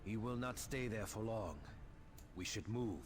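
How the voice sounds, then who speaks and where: An actor playing a fierce, alert space marine sergeant with dramatic, intense delivery in a video game. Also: male voice, lowish voice, unhurried, a little hiss or hum, close to an everyday voice. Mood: wary. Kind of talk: video game battle dialogue.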